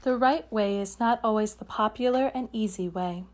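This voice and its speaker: A woman's voice: medium pitch, moderate pace, close to an everyday voice. A woman reading the words of a principled female senator from a picture book, formal and emphatic. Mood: principled conviction. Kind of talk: read-aloud quotation.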